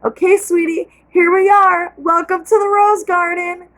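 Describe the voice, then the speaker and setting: A woman reading aloud and voicing a loving, gentle mother talking to her young daughter. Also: very high voice, flat delivery, a little slow, voice pushed up. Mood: tender. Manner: soothing, affectionate.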